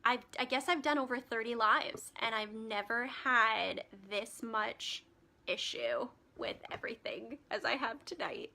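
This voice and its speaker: A woman's voice: on the high side, lively intonation, measured pace, creaky, bright, forceful tone. A woman hosting a live stream as a spiritual healer, chatting casually with many ums and reading out card meanings, her voice turning scratchy. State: voice getting scratchy.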